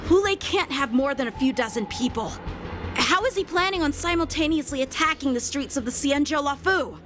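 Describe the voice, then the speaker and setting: A woman playing a brisk, commanding officer in a video game, serious and decisive. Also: high voice, animated. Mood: disbelieving. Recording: music under the voice.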